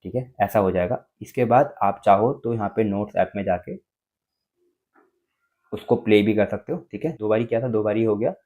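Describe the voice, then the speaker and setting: A man's voice: lowish voice, normal speed, lo-fi sound. An Indian-accented man presenting a casual step-by-step phone tutorial, explaining and often checking in with short tag words.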